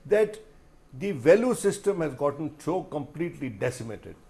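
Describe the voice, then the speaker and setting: A middle-aged man with an Indian accent speaking as a journalist on a discussion panel, deliberate and emphatic, often repeating phrases. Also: on the high side, sing-song, dark tone.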